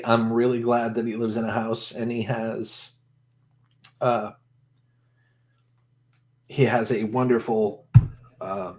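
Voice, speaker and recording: A man hosting a casual livestream chat with guests, friendly and halting with frequent restarts. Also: mid-range voice. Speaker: livestream host.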